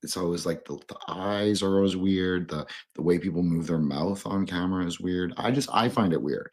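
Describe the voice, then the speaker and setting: Male podcast host, low and husky; loose, halting, digressive conversational delivery. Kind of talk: casual, rambling discussion.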